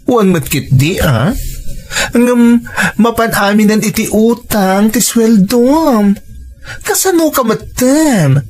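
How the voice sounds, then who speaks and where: A woman's voice: fairly high pitch, lively intonation, slow pace, a little mellow. An actress playing a frank, caring female friend who gives firm advice, with an Ilocano accent, in an audio drama. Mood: questioning, reproving. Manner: admonishing, questioning, pleading. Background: faint music.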